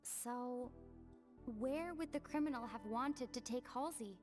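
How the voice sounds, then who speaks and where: A woman voicing an earnest young detective in an anime game, reasoning aloud in a thoughtful, deliberate way. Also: on the high side, a little mellow, soft background music, natural voice. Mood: resolute, analytical.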